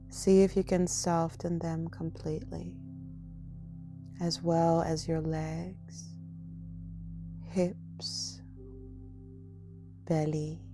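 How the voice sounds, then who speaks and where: A woman leading a guided meditation as a yoga nidra guide, speaking in a soft, gentle, soothing and often breathy manner. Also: lowish voice.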